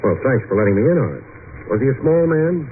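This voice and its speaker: An actor playing a wry, deadpan private detective, narrating and talking in an old-time radio noir style. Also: male voice, medium pitch, fast talker, slight background noise, close to an everyday voice. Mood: bantering. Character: wry, hard-boiled male private detective narrating his case. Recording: tinny old radio recording.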